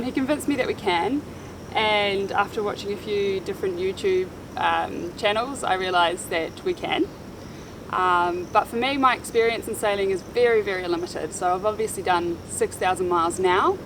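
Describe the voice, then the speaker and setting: A woman's voice: mid-range voice, moderate pace, background noise. Chatty New Zealand woman in her thirties. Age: 30s. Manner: chatty with frequent ums. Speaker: sailing vlogger. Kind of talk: casual chat. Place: outdoors on a boat in Panama.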